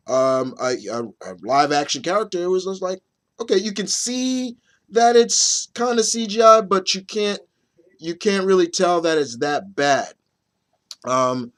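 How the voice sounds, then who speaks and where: A man in his thirties with a slightly raspy, under-the-weather voice, giving a casual, halting movie review to viewers and repeating phrases for emphasis. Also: fairly high pitch. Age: 30s. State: mildly under the weather with a nagging cough.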